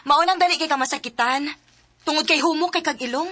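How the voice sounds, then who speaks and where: A woman's voice: sits high, fast, sharp tone. A woman playing a blunt, protective, exasperated woman scolding in a radio drama, with a Cebuano accent. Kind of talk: Philippine radio drama acting.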